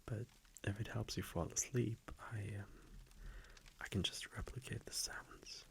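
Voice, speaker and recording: A hushed, low male vampire boyfriend, played by a man in a romantic ASMR audio roleplay. He speaks very slowly and breathily, half whispering, with a tender, teasing intimacy meant for someone cuddled close at night. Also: fairly even intonation, gravelly, heavily acted. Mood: gently teasing. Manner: intimate, soft-spoken, slow with lingering pauses.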